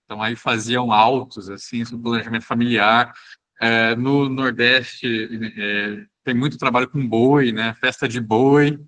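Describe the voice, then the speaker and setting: A man's voice: medium pitch, steady tone, unhurried, a bit bright. A man from Brazil teaching an online class, talking casually with many hesitations and restarts. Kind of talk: casual spoken class discussion.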